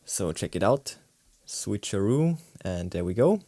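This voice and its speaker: A man's voice: lowish voice, sing-song, measured pace, dark tone, dry recording. A man giving a casual, enthusiastic product demonstration as a tech reviewer, with exclamatory delivery. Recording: music playing behind the voice. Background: synth and guitar music.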